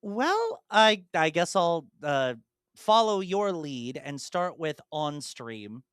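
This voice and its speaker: A man's voice: fairly high pitch, sing-song, takes it slowly, fairly bright tone, smooth, no room sound, clean studio sound. A young man and podcast co-host chatting casually about video games, rambling through detailed explanations with many ums and restarts.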